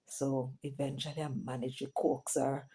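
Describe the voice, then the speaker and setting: Jamaican woman, middle-aged, low voice, mellow tone, chatty patois commentary. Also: normal speed, husky. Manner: chatty, mixes patois and English, lively asides to viewers. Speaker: vlogger host. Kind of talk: casual commentary monologue.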